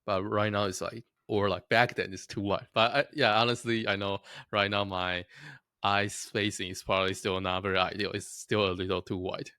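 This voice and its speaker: A man's voice: on the low side, level tone, normal speed, sharp tone, clean tone, dry recording, studio quality. A young man from Taiwan talking casually to his viewers, chatty and self-deprecating, often laughing at himself. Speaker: vlogger. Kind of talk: casual talk to camera.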